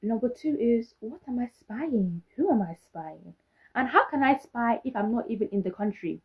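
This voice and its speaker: A young woman with a British accent talks straight to her viewers, sassy and sarcastic, throwing out one rhetorical question after another. Her voice has a husky, breathy edge. The recording sounds a little dull and muffled. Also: a little high, expressive, moderate pace. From Britain. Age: young adult. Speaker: vlogger. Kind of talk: casual talk to camera.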